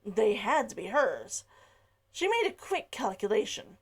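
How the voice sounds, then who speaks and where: A woman's voice: medium pitch, very animated, measured pace, a little hiss or hum. A woman reading her novel aloud chapter by chapter, giggling between lines in the intro. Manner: giggly, laughs between sentences. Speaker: read-along narrator. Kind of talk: casual intro and story reading.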